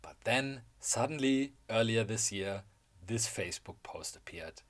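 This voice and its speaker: A man's voice: fairly low pitch, lively intonation, a little slow. A man with a German accent narrating a scripted video essay in a wry, enthusiastic way.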